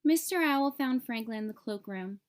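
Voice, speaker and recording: A woman narrating a children's storybook aloud in an expressive, clearly phrased way. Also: a little high, normal speed.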